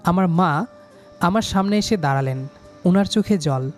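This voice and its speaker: A man's voice: high voice, moderate pace, soft background music. A young man narrating a scripted first-person love story in a dramatic, sentimental manner.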